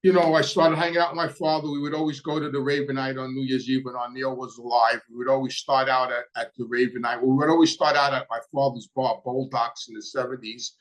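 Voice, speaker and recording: A man's voice: mid-range voice, steady tone, fast talker, few pauses, low-quality recording. Older New York man hosting a podcast. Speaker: podcast host. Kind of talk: casual podcast monologue to viewers.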